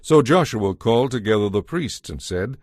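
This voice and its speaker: Low-pitched man narrating scripture solemnly. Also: animated, moderate pace, a little mellow, no room sound, clean studio sound. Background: background music.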